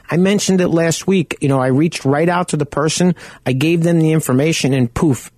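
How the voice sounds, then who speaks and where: Man, radio host, loud chatty delivery, dry close sound. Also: on the high side, no echo. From Arizona. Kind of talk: casual radio talk. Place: home.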